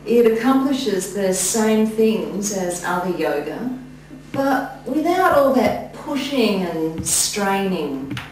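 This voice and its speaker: Slow-speaking woman, distant in an echoey room. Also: medium pitch, very animated, a little mellow. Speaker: yoga teacher in training group. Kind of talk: practice class introduction and casual remarks. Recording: very echoey room, distant mic, faint noise.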